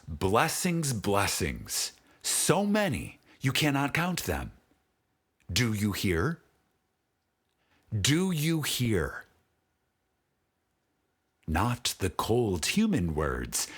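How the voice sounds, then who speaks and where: A man narrating a spiritual audiobook in a dramatic, solemn way, measured and with long pauses, his voice husky and breathy. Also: mid-range voice, a bit bright.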